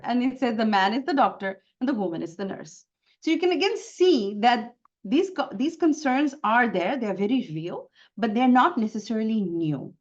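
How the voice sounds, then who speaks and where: A woman's voice: fairly high pitch, highs cut off. Bangladeshi woman presenting a webinar. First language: Bengali. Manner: earnest, explanatory, stresses words by repeating them. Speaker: webinar speaker.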